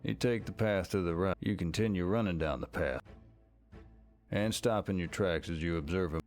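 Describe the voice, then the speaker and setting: Low-voiced man narrating a story. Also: moderate pace, a little mellow, faint music behind. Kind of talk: second-person scripted story narration.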